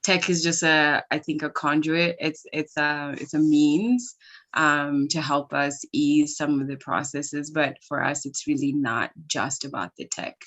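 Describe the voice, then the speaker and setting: A woman in her thirties answers questions in a casual podcast chat. She talks in an easy, thoughtful way with frequent ums. Her voice sits notably low. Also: measured pace, fairly bright tone. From Kenya and Minnesota. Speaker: ed-tech founder, guest.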